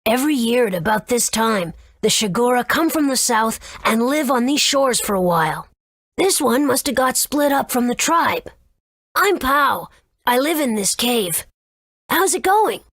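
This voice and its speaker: A woman's voice: a little high, expressive, measured pace, fairly bright tone, no echo, studio quality, full character voice. A woman voicing a scrappy, caring young boy in a video game, speaking in a casual, earnest way. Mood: casual, worried.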